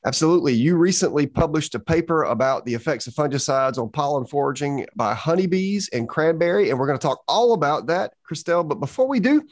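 A man's voice: on the high side, expressive, fast talker, few pauses, dry recording. Upbeat man hosting a podcast, dry sound. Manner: upbeat, enthusiastic and emphatic. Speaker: podcast host. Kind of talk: podcast interview.

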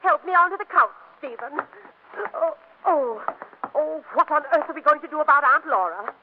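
An actress playing a prim, meddling older aunt in a 1940s radio comedy, fluttery, disapproving and commanding. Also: female voice, sits high, expressive, normal speed, background noise. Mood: pained, commanding. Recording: muffled old radio broadcast.

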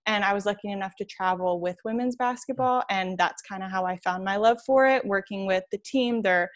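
A woman's voice: mid-range voice, fairly quick. A woman in her twenties from New York, answering interview questions in a chatty, enthusiastic way as a podcast guest. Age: 20s.

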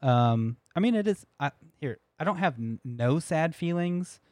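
A man's voice: on the high side, animated, unhurried, a little mellow, dry recording. A young man co-hosting a podcast, telling a story in a rambling, laughing way with lots of restarts. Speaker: podcast co-host. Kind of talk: casual storytelling chat.

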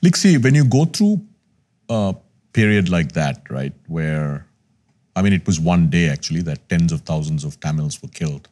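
A man's voice: low-pitched, expressive, moderate pace, a little mellow. A man in his fifties from Sri Lanka, a podcast guest telling his life story in an earnest, reflective way. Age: 50s. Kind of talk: candid conversational storytelling.

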